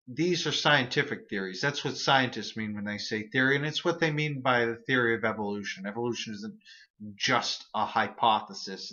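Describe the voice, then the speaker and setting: A man explains everyday reasoning mistakes in a relaxed but earnest monologue, often repeating a word as he restarts a thought. His voice is bright and sits at a middle pitch. The recording is dull and lo-fi. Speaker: host of an atheist video series.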